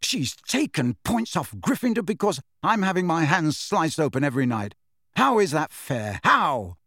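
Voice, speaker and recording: Narrator doing an aggrieved teen schoolboy, sullen mutters and angry bursts, dry close voice. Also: male voice, fairly high pitch, very animated, moderate pace, fairly bright tone, no echo, pitched above the performer's own voice, heavily acted. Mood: resentful. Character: angry resentful teenage schoolboy.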